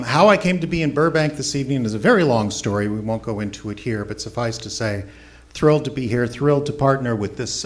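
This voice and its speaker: A man hosting a live stage show, welcoming the audience and introducing performers in a jokey, informal announcer's manner. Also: medium pitch. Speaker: host and founder of a radio theater. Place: on stage in a theater before an audience. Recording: noisy live theater recording.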